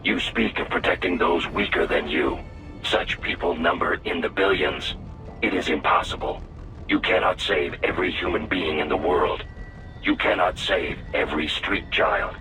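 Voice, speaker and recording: Very deep, logical machine mind. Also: male voice, steady tone, normal speed, sharp tone, far from the mic, soft background music, poor audio. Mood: cool, analytical. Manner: stiff, formal, analytical. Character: coldly logical male artificial intelligence machine companion. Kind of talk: video game cutscene acting.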